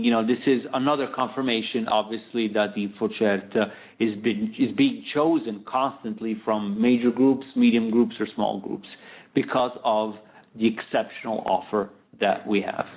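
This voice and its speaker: A man's voice: lowish voice, fairly even intonation, moderate pace. A male company executive answering conversationally on an earnings call, often checking in with little tag words. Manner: conversational, frequent "you know" and "right" tags. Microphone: conference call line. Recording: narrow, tinny phone line.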